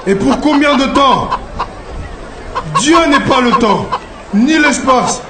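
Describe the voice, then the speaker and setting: Un homme jouant dans un dessin animé un prophète solennel, meneur vers la liberté, qui exhorte son peuple en déclamant. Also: male voice, very high-pitched, slow pace. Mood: grave, admonishing. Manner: declamatory, exhortative preaching with emphatic slogans. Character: solemn prophet and freedom leader addressing his people. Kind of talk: animated film oratory, prophetic speech. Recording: echoey, noisy sound with crowd behind.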